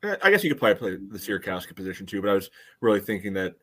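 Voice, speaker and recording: A man giving casual sports analysis on a podcast in a measured, hedging, conversational way. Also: on the low side, sing-song, slightly rough.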